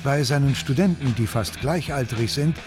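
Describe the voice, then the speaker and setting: A man reading scripted documentary narration in a measured, scene-setting manner. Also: mid-range voice. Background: guitar music.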